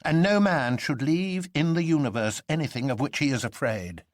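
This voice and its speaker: A man voicing an excitable, reckless young man in a storybook reading, with an impassioned, declamatory manner. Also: fairly high pitch, moderate pace, dry recording, studio quality, pitched above the performer's own voice, natural voice. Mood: defiant.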